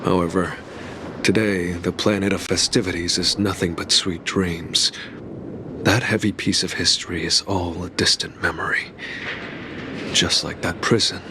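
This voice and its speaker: Low, solemn storyteller guide. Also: male voice, steady tone, measured pace, background noise. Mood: solemn, reverent. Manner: grave, formal, reverent. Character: solemn, dignified male guide recounting fallen heroes. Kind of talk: video game storytelling dialogue. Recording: music behind the voice.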